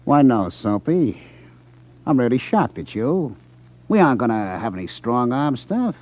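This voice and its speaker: Gruff American gambling boss. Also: male voice, medium pitch, very animated, measured pace, darkish tone, muffled recording. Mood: mock-polite menace. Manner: gruff, domineering, sneering. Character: crooked gambling racket boss, menacing, short-tempered, sardonic. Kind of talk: 1950s radio drama acting. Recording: 1950s radio recording.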